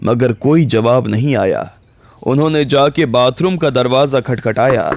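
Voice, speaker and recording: A man's voice: mid-range voice, measured pace, background noise. A man narrating a scripted audio story in the role of storyteller. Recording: background music under the voice.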